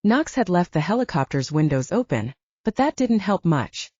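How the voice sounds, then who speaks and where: A woman's voice: lowish voice, very animated, moderate pace, mellow, slightly rough, dry recording, muffled recording. A woman reading fiction aloud as a scripted audiobook narration.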